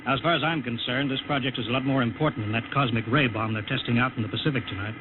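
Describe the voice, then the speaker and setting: A man's voice: medium pitch, fairly even intonation, racing, lightly acted. An actor playing a male project chief who briefs a test pilot in a 1950s radio drama, urgent and emphatic. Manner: urgent, emphatic, gruffly joking. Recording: lo-fi old radio recording with hiss.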